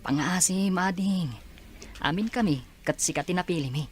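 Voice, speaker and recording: Woman as older male schoolmate, low female register, earnest, coaxing, flattering, audio drama acting. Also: moderate pace, a little hiss or hum, lightly acted.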